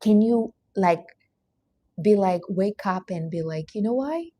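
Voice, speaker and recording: A woman's voice: mid-range voice, animated, unhurried, dark tone, no room sound, studio quality. A woman with a Portuguese accent, Portuguese her first language, giving an earnest, emphatic motivational talk while hosting a livestream. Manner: earnest, emphatic, non-native grammar. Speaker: livestream host.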